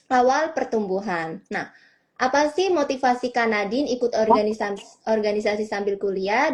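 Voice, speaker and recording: A young woman co-hosting a student podcast, upbeat as she leads a quick question game. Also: a little high. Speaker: podcast co-host.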